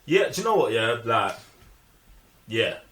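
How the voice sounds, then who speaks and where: A man's voice: mid-range voice, big pitch swings, unhurried, very noisy. A young man with a London accent giving casual, emphatic film opinions full of slang. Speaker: film review show host. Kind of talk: casual movie review chat. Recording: echoey room.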